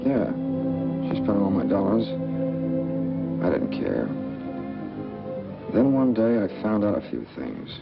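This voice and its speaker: An actor with an American accent playing a bitter, guarded drifter on the run, terse and hard-boiled, in 1940s film noir style. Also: male voice, lowish voice, wildly swooping pitch, slow, creaky, pauses often, heavily acted. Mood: bitter, subdued. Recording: muffled old film soundtrack, loud music behind.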